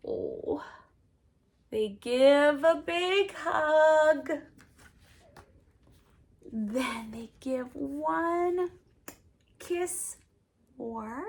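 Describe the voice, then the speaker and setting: A middle-aged woman hosting a storytime for small children, reading aloud in an expressive, exaggerated way with dramatic pauses. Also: high-pitched, vocal fry. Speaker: host of a children's sign language storytime.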